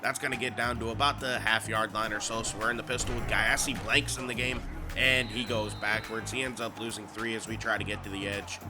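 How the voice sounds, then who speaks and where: A man, sick and a bit nasal, giving excitable play-by-play commentary over a football video game. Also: on the low side, fairly quick, over music. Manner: excitable, rising to shouts on big plays. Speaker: gaming YouTuber.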